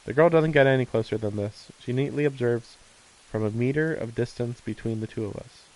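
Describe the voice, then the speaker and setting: A man's voice: mid-range voice, brisk, poor audio. A man streaming a visual novel, reading the text aloud and mixing in casual commentary, with faint noise behind him.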